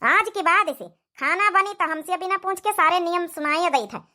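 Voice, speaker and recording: Lady doing a strict village saas for a cartoon, awadhi accent, really high sharp voice, always scolding and ordering around. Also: moderate pace. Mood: stern, commanding. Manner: domineering, nagging. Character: bossy, scolding village mother-in-law, grudging and strict. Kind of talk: cartoon comedy dialogue.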